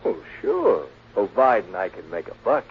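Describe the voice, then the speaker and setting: An actor playing a sly, greedy private detective, cagey and drawling, in a 1940s radio drama. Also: male voice, lowish voice, wildly swooping pitch, moderate pace, creaky, husky, heavily acted. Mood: cagey, bargaining. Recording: muffled, noisy 1940s radio recording.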